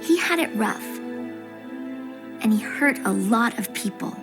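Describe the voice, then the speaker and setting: A gentle, brainy young girl in a game cutscene. Her voice is fairly high and sincere, unhurried and soft-spoken, with music clearly behind it. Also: lively intonation. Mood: earnest, admiring.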